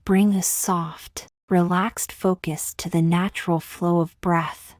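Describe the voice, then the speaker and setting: A woman reading a scripted guided meditation in slow, gentle, measured phrases with long pauses. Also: lowish voice, steady tone, dry recording, studio quality.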